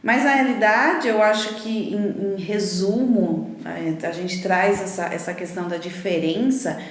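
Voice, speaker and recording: A Brazilian woman, an English teacher, explains language points on a casual podcast, spelling words out letter by letter. Her voice sits at an ordinary middle pitch. The recording has a strong room echo. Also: measured pace. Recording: very echoey room.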